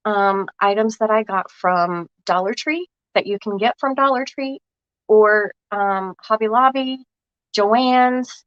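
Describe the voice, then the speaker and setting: A woman with a cold talks through a crafting project at an unhurried pace, her voice froggy and a little rough. She chats casually with her viewers, thinking aloud between fillers. The recording is dry and dull, like a lo-fi home setup. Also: mid-range voice, no room sound. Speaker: craft vlogger.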